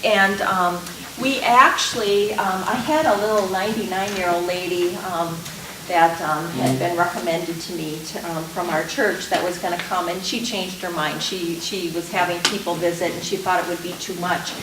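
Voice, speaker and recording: A woman hosting a community panel, talking in a friendly, halting way with lots of fillers. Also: on the low side, steady tone. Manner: friendly, hesitant, many fillers. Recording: echoey distant room sound with hiss.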